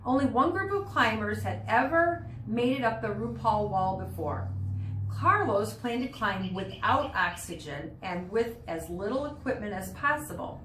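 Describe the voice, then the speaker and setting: A woman's voice: on the high side, lively intonation, fairly bright tone. Woman reading aloud, distant and echoey. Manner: explanatory, pauses to explain words and pictures. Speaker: teacher reading aloud to students. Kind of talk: read-aloud of a children's nonfiction book. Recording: roomy, distant mic with background noise.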